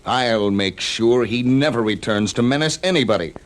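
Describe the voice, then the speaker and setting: An actor playing an earnest, noble superhero with a husky, declamatory delivery in a theatrical audio drama. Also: male voice, medium pitch, moderate pace, natural voice. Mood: solemn, resolute. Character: earnest, noble, heroic adult male superhero.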